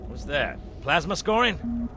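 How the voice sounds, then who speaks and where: An actor playing a gruff, commanding male marine sergeant in a video game cutscene, brusque with his soldiers. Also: on the high side, very animated, a little slow, bright, forceful tone, slight background noise, exaggerated voice. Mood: wry.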